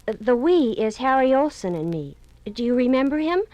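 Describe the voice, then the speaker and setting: A woman's voice: high-pitched, big pitch swings, normal speed, darkish tone, no room sound, low-quality recording. An actress in a 1950s radio drama playing a young woman who reads out her breakup letter, hesitant and apologetic.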